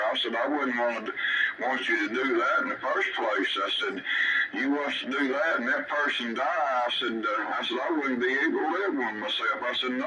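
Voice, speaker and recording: A man with a Tennessee Southern accent talking casually over CB radio in a folksy, halting, repetitive way. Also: mid-range voice. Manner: folksy, halting, repeats himself. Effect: two-way CB radio transmission. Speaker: CB radio operator. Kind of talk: casual radio chat, rambling storytelling. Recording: distant, very noisy CB radio signal.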